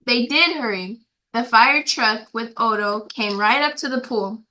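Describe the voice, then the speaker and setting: A woman reading a story aloud to children in an expressive, emphatic way, addressing young listeners directly. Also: fairly high pitch, unhurried, poor audio.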